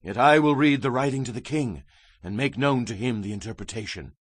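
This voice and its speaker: An actor playing a solemn, fearless prophet who rebukes with grave, emphatic declamation in a scripture drama. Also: male voice, medium pitch, a little fast, dry recording, lightly acted.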